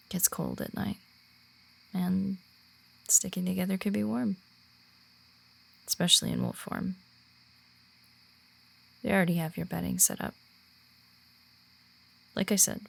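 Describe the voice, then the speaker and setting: Woman playing a husky, creaky-voiced friendly female werewolf guide. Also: fairly low pitch, exaggerated voice. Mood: welcoming, explanatory. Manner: reassuring, confiding, explanatory. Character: friendly female werewolf guiding a newcomer around the pack. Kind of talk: roleplay audio drama in ASMR manner.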